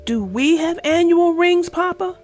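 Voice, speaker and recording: A woman voicing an excited little girl cub about to turn six, with an eager, bouncy delivery. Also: extremely high pitch, a little slow, faint music behind, pitched above the performer's own voice. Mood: curious.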